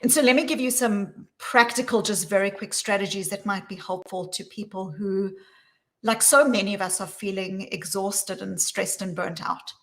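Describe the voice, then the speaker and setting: A woman with a South African accent, answering interview questions in an earnest, measured way. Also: medium pitch, fairly even intonation, fairly bright tone. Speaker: guest, psychologist.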